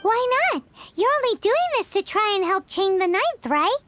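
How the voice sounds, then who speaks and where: A woman's voice: very high-pitched, expressive, moderate pace, fairly bright tone. A woman voicing a tiny, cheeky, blunt female fairy companion in a video game, teasing and bouncy.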